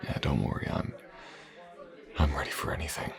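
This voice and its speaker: An actor playing a gruff, wary, self-assured mercenary swordsman in a fantasy audio roleplay, speaking in a dry, terse way. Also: very deep voice, moderate pace, a crowd in the background, heavily acted. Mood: dry, confident.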